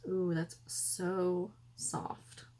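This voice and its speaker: Hoarse woman chatting through makeup. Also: lowish voice, flat delivery, drawn-out words, warm tone, a little hiss or hum. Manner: chatty, rambling, self-correcting. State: hoarse, losing her voice. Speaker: beauty vlogger. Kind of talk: casual makeup tutorial. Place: room at home by a window.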